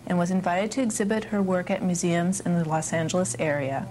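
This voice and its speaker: Mellow woman narrating a documentary. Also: lowish voice, brisk, faint music behind, rough recording.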